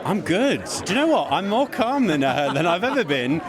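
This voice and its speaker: A man's voice: sits high, very animated, moderate pace. A man, an awards show producer, talking in a casual interview, chatty with frequent restarts and laughs. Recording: busy crowd behind.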